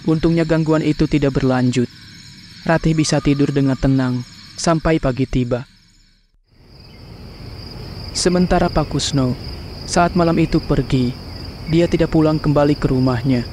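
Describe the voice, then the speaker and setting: A man narrates a horror cartoon in a steady, measured storytelling voice that sits a little high. Faint crickets and night ambience run behind him. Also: a little hiss or hum.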